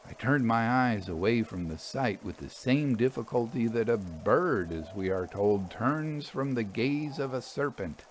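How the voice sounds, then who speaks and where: A man narrating a literary ghost story aloud with measured, suspenseful and expressive phrasing. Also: mid-range voice, slight background noise. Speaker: storyteller reading fiction aloud.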